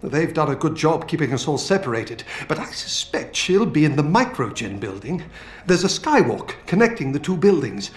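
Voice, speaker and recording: An actor playing an older British scientist in a video game, feisty and fatherly, with colourful, expressive delivery. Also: fairly high pitch, normal speed. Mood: wry, weary. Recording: roomy game audio with music.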